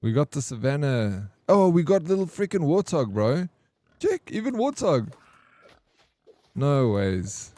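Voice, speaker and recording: A young man with a Johannesburg South African accent, live-streaming a game and chatting excitedly with his chat in slangy, casual talk. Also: on the high side, a little slow, dry recording.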